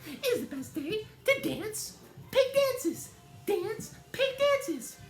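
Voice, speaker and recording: A man voicing an excitable, cheerful young female pig in a singsong children's read-aloud. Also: extremely high pitch, slow pace, echoey room, soft background music, pitched above the performer's own voice, exaggerated voice. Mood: joyful, singsong. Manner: singsong, oinking, exclamatory delivery.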